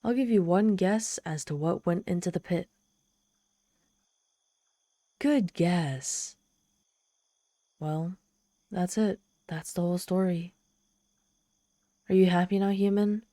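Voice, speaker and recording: Ancient demon woman, played by a woman for ASMR audio drama: lowish, velvety dark voice, proud and theatrical with a vulnerable streak. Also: unhurried, long pauses, no echo, clean studio sound, lightly acted. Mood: sardonic, irritated. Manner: haughty, theatrical, softening into shy vulnerability. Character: ancient proud tsundere demon woman, lonely, haughty, teasing. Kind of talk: ASMR audio roleplay, addressing the listener.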